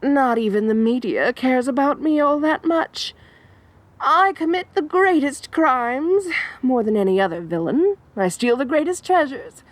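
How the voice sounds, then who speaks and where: A woman's voice: sits high, lively intonation, measured pace, no room sound. A woman voicing an obsessive, theatrical female supervillain in an audio roleplay, dramatic and giggly, swinging between coy and menacing. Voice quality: dramatic, giggly, sing-song.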